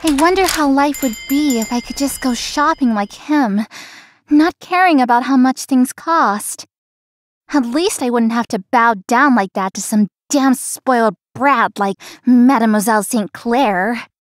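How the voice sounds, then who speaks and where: A woman playing a feisty young florist in a visual novel, earnest and expressive. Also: a little high, a little slow, dry recording, studio quality, close to an everyday voice. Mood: resentful. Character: feisty young female florist, polite but privately resentful.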